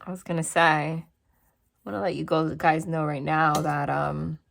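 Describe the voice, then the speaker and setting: A woman tarot reader from New Jersey, chatting casually and frankly to her viewers from a desk at her parents' house, with a natural vocal fry and a slightly raspy voice. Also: low-pitched, level tone, normal speed, background noise. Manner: chatty and rambling, frank asides.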